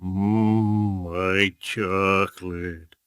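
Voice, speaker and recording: A man's voice: low-pitched, level tone, drawn-out words, a bit bright, clean tone, no echo, clean studio sound, heavily acted. A man voicing a dim, gluttonous middle-aged cartoon father, whiny, childish and impulsive, in a game cutscene. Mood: dreamy. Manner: whiny, dopey, exclamatory. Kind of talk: animated game cutscene dialogue.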